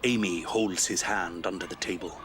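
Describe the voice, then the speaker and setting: A man's voice: sits low, steady tone, moderate pace, fairly bright tone. A man narrating an audio drama in a dramatic, scripted storytelling style. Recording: music behind the voice.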